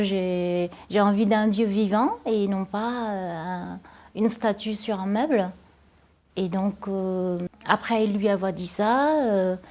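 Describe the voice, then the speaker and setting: A woman's voice: mid-range voice, warm tone. A young woman from Vietnam giving a personal testimony, speaking hesitantly with many fillers and restarts. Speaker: interviewee.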